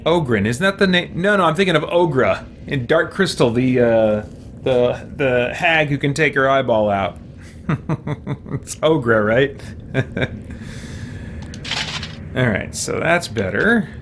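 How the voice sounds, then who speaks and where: Male game streamer, casual unhurried commentary, thinking aloud, clear game sound in the background. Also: mid-range voice, lively intonation, fairly bright tone, a little hiss or hum. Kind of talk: casual let's play commentary.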